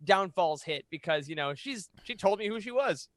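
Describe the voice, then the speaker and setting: Animated, high-pitched American man. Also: normal speed, no room sound, studio quality. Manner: animated, jokey, acts out quotes. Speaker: podcast panelist. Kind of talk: casual roundtable chat. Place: home studio, remote video call. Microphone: close studio mic on boom arm.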